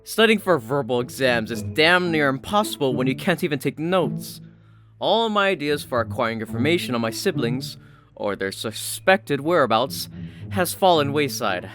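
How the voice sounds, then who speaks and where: A man's voice: mid-range voice, sing-song, moderate pace. A man reading scripted fiction as a story narrator, with music playing behind him. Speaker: audiobook-style story narrator.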